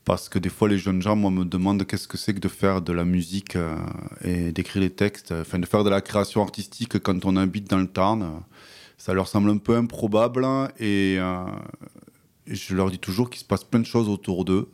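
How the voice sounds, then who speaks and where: A man with a southwestern French accent, a radio guest who speaks hesitantly in conversation and recites his own poem in a measured rhythm. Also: low voice, fairly even intonation, darkish tone, no echo. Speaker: guest author.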